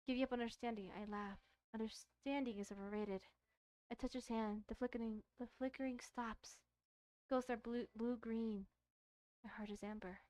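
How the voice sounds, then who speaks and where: Woman streamer slowly reading dramatic poetry out of a game, dry close sound. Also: a little high, steady tone, a little mellow, dry recording. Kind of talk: slow dramatic poem reading.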